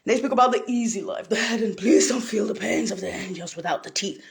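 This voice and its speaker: A woman from Italy, rambling in a profane monologue, laughing and bursting into shouts. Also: medium pitch, brisk, vocal fry.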